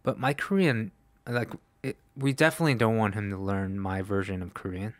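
A man's voice: lowish voice, steady tone, a little fast, no room sound. A man co-hosting a casual podcast, laid-back and self-mocking, laughing often. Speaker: podcast co-host. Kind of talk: casual chat.

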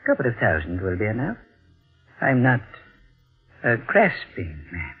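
An actor playing a sly, genteel elderly blackmailer in a 1940s radio mystery, with a mannered, mock-courteous way of talking. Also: male voice, fairly low pitch, wildly swooping pitch, unhurried, husky, full character voice. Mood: coolly mercenary. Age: older. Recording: muffled vintage radio broadcast, faint music.

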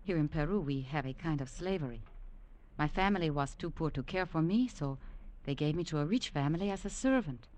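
A woman's voice: low voice, animated, brisk, darkish tone. An actress playing an earnest young woman servant in a 1950s radio drama. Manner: earnest and pleading. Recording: old radio broadcast.

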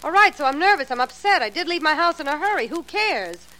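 A woman's voice: high voice, sing-song, quick pace, sharp tone, full character voice. An actress playing a blunt, streetwise young woman who fears for her life, in a 1940s radio drama. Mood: tough. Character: tough, wisecracking young woman in danger.

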